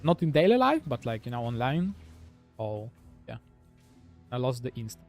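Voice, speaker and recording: A man's voice: mid-range voice, sing-song, normal speed, faint music behind. A man from Russia with a Russian accent, a gaming streamer chatting casually with viewers in a rambling way, full of "like" fillers. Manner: rambling, heavy use of "like" fillers.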